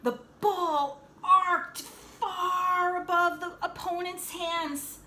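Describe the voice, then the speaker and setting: Bright-voiced woman reading a storybook aloud. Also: very high-pitched, drawn-out words. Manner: animated, dramatic delivery that explains things to young listeners. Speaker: teacher reading a picture book aloud to children. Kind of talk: expressive read-aloud storytelling with asides.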